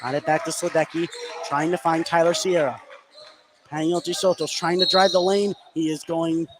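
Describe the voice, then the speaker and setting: Male play-by-play commentator, slightly high voice, excitable on big plays, calling school basketball live over clear gym noise. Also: moderate pace. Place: indoor school gym, courtside. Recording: noisy live gymnasium ambience.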